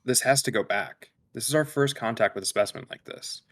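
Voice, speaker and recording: Measured, clinical male science officer played by a man. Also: mid-range voice, fairly bright tone, natural voice. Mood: clinical, intent. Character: calm, detached male science officer on a spaceship. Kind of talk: table read of a sci-fi film script.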